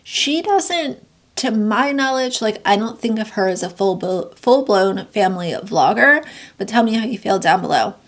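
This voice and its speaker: A woman's voice: mid-range voice, expressive, normal speed. A woman with a congested, nasal voice, giving blunt, sarcastic commentary and reading news excerpts aloud. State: congested, getting sick. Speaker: commentary youtuber. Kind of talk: opinionated commentary.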